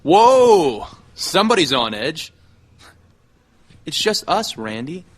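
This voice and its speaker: Cocky young wrestler in a game cutscene, talks real slow, smooth persuader. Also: male voice, medium pitch, pushed and bright. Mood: teasing. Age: young adult. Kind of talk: video game cutscene dialogue.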